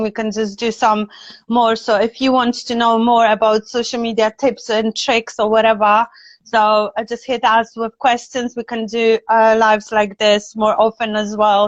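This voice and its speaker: Female live stream host, Polish accent, higher pitch, effusive casual chat, muffled roomy audio. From Poland. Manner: effusive, chatty, frequent tag questions. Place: indoors, on a video live stream. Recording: dull, roomy video call audio.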